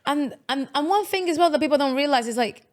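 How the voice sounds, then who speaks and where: A young woman co-hosting a casual podcast chat, agreeable and quick with backchannel yeahs. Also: high-pitched. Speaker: podcast co-host.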